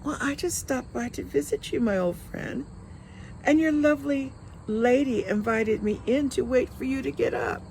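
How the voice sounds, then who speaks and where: A sly, lecherous visitor in an audiobook tale read by a woman speaks with false politeness. The words are smooth and insinuating, with a creaky edge. Faint noise runs behind the voice. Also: high-pitched, moderate pace, darkish tone, voice pushed up, rough recording. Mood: slyly polite.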